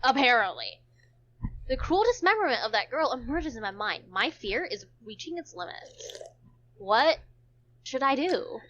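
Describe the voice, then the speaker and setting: A woman's voice: sits high, big pitch swings, unhurried, long pauses, a bit bright, highs cut off. A woman reading visual novel text aloud for a stream, stumbling now and then and dropping in casual joking asides.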